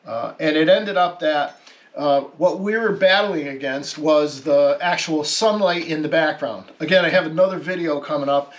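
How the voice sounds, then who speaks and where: Higher-pitched man explaining informally, thinking aloud. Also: normal speed. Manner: hesitant, thinking aloud, conversational. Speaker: amateur radio hobbyist presenter. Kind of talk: informal explanatory vlog narration.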